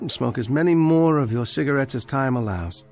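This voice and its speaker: A man's voice: medium pitch, expressive, normal speed, a little mellow, close to an everyday voice. Casting: male actor for condemned librarian in radio play, bookish, defiant, precise and ironic, mid-range male voice over faint music. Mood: quietly triumphant. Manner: precise, dry, pedantic, ironic. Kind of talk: radio drama acting.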